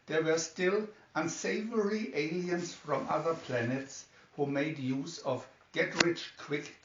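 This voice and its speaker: A man reads an adventure storybook aloud in a slightly raised voice. The sound is roomy and a little dull, as if he sits some way from the mic. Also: fairly high pitch. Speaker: storybook narrator. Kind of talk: scripted story narration, read aloud. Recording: roomy, distant, slightly dull home recording.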